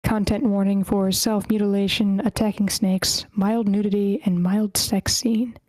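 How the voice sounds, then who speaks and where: A woman's voice: medium pitch, little pitch movement, measured pace, a little mellow, no echo. A woman hosting an online table read, casually announcing and organizing things. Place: online voice meeting.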